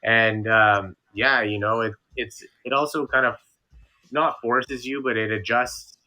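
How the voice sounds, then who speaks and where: A man's voice: mid-range voice, fairly even intonation, a little slow, bright, forceful tone. A young man from Canada, a streamer guest chatting in an interview, rambling and restarting with lots of fillers. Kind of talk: casual interview chat.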